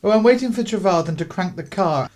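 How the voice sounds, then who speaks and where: A man's voice: high voice, animated, fast talker, a little mellow. A man playing an evasive upper-class clergyman father of the 1930s in a radio play. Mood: evasive, dismissive.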